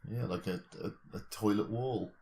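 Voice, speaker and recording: A man's voice: fairly low pitch, normal speed, creaky, warm tone, a little hiss or hum. A man co-hosting a podcast in casual, bantering chat, arguing his points in a mock-serious, drily joking way. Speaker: podcast co-host.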